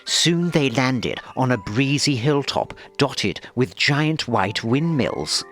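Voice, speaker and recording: A man reading a children's story as narrator, with expressive scripted delivery. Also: medium pitch, takes it slowly. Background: music.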